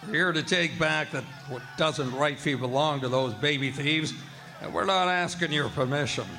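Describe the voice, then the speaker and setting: Man playing a blustering, gravelly Western mob leader. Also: fairly high pitch, moderate pace, pushed and bright, soft background music. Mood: defiant. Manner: blustering, confrontational, folksy Western speech. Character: belligerent townsman leading an angry vigilante mob. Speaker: radio drama actor. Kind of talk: live radio drama acting. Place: hotel event room, live performance. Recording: noisy live stage recording.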